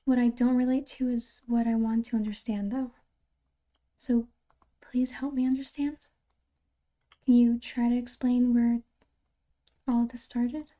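Woman playing a very soft, mellow caring girlfriend. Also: a little high, little pitch movement, lightly acted. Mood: gentle concern. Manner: soft-spoken, often breathy and near whisper, halting and tender. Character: caring, gentle, reassuring girlfriend. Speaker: ASMR roleplay performer. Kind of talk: ASMR girlfriend comfort roleplay.

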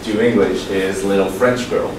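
A man gives a meetup talk in a steady, explanatory way. His voice sits very low. It is picked up from across a large, echoey room with a noisy background. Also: normal speed, recorded from a distance. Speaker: presenter. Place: large meeting room with projector. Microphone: far room mic.

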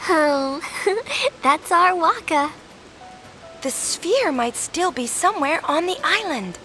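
An actress playing a sweet, gentle young heroine in a video game, speaking in an encouraging way. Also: female voice, extremely high pitch, animated, takes it slowly, soft background music, exaggerated voice. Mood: warm, teasing.

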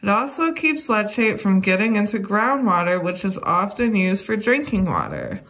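A young adult woman reading a children's educational book aloud, addressing young listeners in a deliberate way. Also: medium pitch, sing-song, a bit bright. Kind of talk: read-aloud children's lesson.

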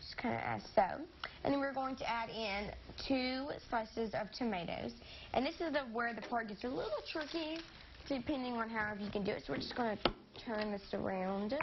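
A woman's voice: fairly high pitch, moderate pace. A teenage girl, chatting through a cooking demonstration on a studio kitchen set, upbeat and sometimes losing her words. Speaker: kid cooking segment presenter. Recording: slightly dull TV audio with faint noise.

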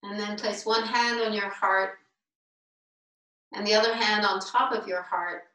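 A woman leading a guided meditation as a teacher, speaking deliberately in short, spaced-out phrases over a video call. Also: mid-range voice, steady tone, sharp tone. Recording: roomy, distant video-call microphone.

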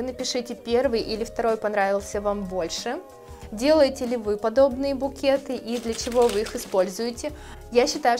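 A woman in her thirties, a florist, calmly explaining her steps in a tutorial, speaking gently to her viewers. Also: fairly high pitch. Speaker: florist teaching a course. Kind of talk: calm tutorial narration. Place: indoor studio with plants. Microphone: lapel mic.

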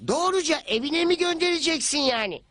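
A performer voicing a brash, cheeky schoolboy in an animated cartoon dub, scoffing and full of exclamations. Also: sits high, brisk, no room sound. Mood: incredulous. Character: brash, incredulous schoolboy, cheeky know-it-all. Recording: music behind the voice. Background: background music.

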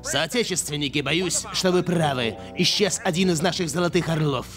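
A man's voice: fairly high pitch, normal speed, close to an everyday voice. Man as a pompous, bossy cartoon eagle chief. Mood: grave. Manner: pompous, commanding. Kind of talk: cartoon dub. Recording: music under the voice.

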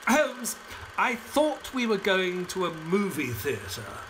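An actor playing a genial, inquisitive sidekick who narrates an audio play in an earnest storytelling manner. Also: male voice, high voice, big pitch swings, takes it slowly, noisy background, heavily acted.

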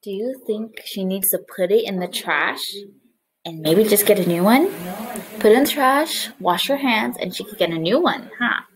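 A young woman preschool teacher reading a picture book aloud to small children and explaining it, with animated, exaggerated expression. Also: mid-range voice, moderate pace, low-quality recording. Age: young adult. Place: small living room, indoors, on a couch.

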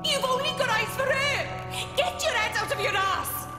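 An actress voicing a hurt, jealous, sharp-tongued young woman in a game, accusing and bitter, in an echoey recording with music behind. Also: very high voice, animated, normal speed. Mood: jealous, desperate.

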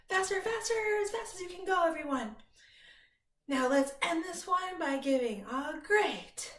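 Slow, high-voiced woman leading toddler rhymes. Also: fairly bright tone. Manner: exaggerated, slow, encouraging delivery with active movement. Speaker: children's librarian leading a toddler storytime. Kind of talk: singsong action rhymes and instructions for toddlers. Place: small bright indoor room at home. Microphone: device camera a few feet away. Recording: roomy echo, distant microphone.

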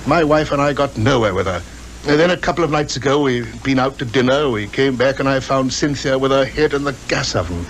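A man plays a troubled British gentleman in a 1950s radio drama. He is middle-aged, polite and a little hesitant. The old recording has a faint layer of noise. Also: medium pitch, fairly quick, close to an everyday voice, poor audio. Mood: troubled, earnest. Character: anxious upper-class English father, polite and troubled.

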